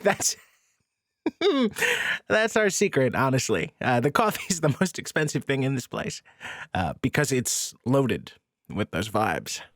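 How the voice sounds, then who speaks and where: A man playing a gentle, dorky counselor in an audio drama, hesitant, stammering and self-deprecating. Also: mid-range voice, sing-song, slow pace, no echo, studio quality. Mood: amused. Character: gentle, awkward, dorky male counselor, good-humored. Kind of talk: naturalistic audio drama acting.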